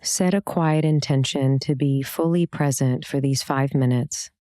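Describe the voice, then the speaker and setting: A woman meditation teacher giving a soothing guided meditation, calm and gentle, with unhurried instructions and pauses between phrases. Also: rumbling low, clean tone, no echo, studio quality.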